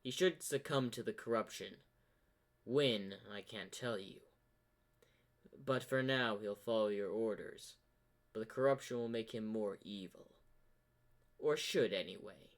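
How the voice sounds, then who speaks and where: A man voicing a sinister corrupted zebra, a commanding and menacing dark mastermind in a fan-made story. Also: lowish voice, close to an everyday voice. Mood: scheming. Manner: slow, ominous, commanding.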